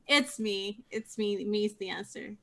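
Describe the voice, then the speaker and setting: Bright, playful woman gamer. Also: medium pitch, unhurried. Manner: playful, teasing. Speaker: tabletop game player. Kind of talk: casual table chat.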